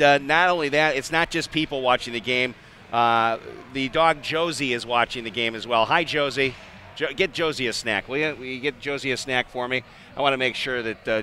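A man with a bright, forceful American voice calls live ice hockey. He is conversational and chatty, with rink noise around him in the arena. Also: mid-range voice. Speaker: play-by-play sports announcer. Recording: clear arena ambience noise behind the voice.

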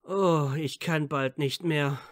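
A man's voice: a little high, steady tone, slow pace, fairly bright tone, dry recording, clean studio sound. A man playing a playful, sulky teenage wizard boy in a fan audio story, bantering and mock-offended. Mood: playful teasing. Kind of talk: fan audiobook character acting.

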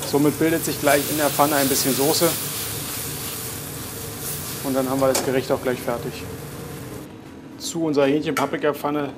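Young chef explaining over a sizzling pan. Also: male voice, mid-range voice. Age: young adult. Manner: relaxed, plain explaining with frequent filler pauses. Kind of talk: cooking demonstration. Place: garden, greenhouse and restaurant kitchen. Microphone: lapel. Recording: sizzling kitchen noise behind. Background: kitchen noise and sizzling pan.

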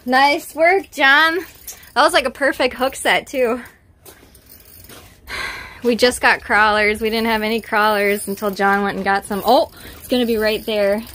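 A woman hosting a casual vlog, chatting and exclaiming excitably into a handheld camera inside an ice fishing tent. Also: mid-range voice, moderate pace, slight background noise. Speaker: vlog host. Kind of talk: casual excited chat while filming. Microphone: handheld camera or phone.

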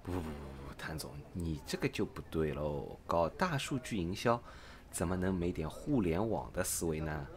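A man's voice: deep voice, sing-song, moderate pace. A man playing an eager, impatient purchasing agent in a parody radio drama, exclamatory and theatrical as he chums up to his boss. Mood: eager, questioning.

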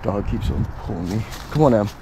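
Low-voiced man vlogging outdoors, noisy phone audio. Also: animated, brisk. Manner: rambling, casual, swears, talks to camera while walking a dog. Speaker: vlogger exploring places. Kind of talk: casual walking vlog. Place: woods outdoors.

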